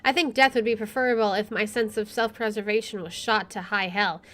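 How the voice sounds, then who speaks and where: A woman's voice: medium pitch, fairly even intonation, a little fast, a bit bright. A woman streamer reading a visual novel aloud and adding casual commentary. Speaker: let's play streamer. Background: faint game music.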